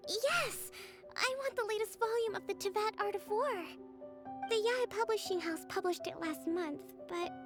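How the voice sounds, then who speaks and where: A woman voicing a gentle, bookish young priestess in an anime game, with a soft, breathy, hesitant delivery. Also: very high-pitched, normal speed, music in the background. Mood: eager. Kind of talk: anime video game voice acting.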